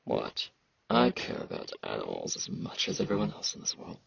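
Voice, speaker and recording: A man playing a weary, aloof immortal fantasy knight in an intimate ASMR roleplay, slow and brooding, with a gravelly, husky voice and sibilant S sounds. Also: rumbling low, huge pitch swings, fairly bright tone, dry recording, muffled recording, heavily acted. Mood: grim, earnest. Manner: slow, brooding, casual about grim things.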